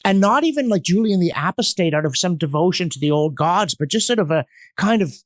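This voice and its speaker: A man's voice: high-pitched, animated, fairly quick, no room sound, clean studio sound. A man speaking as a podcast guest in an intellectual discussion, with an erudite, emphatic, argumentative manner and strong opinions. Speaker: podcast guest, history commentator.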